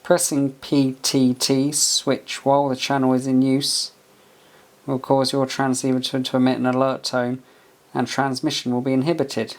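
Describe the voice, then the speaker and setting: A man's voice: mid-range voice, completely flat delivery, normal speed. A man doing a casual hands-on product review, muttering as he thinks aloud and reads bits from a manual. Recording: clear background noise with handling clicks.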